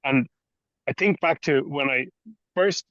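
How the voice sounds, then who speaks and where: A man's voice: a little high, measured pace, fairly bright tone, no echo, lo-fi sound. A man from Ireland with an Irish accent, hosting an interview in a conversational style. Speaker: podcast interviewer.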